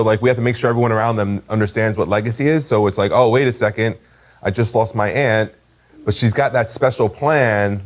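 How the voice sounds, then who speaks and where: A man giving casual sales training, talking emphatically and informally and tagging "right?" onto his points. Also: on the low side. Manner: emphatic, animated, tags "right?" often; slangy and informal.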